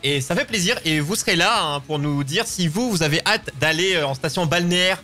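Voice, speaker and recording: A man's voice: on the high side, fairly quick, pushed and bright, soft background music. A man hosting a live show in a chatty, informal, joking way. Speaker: show host. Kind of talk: casual live show presenting.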